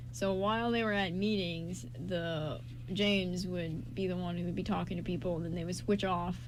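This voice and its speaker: A young woman chatting casually with friends as a radio show host, telling stories in a gushing, rambling way. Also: lowish voice. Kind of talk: casual chat among friends. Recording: noisy room with ambient sound.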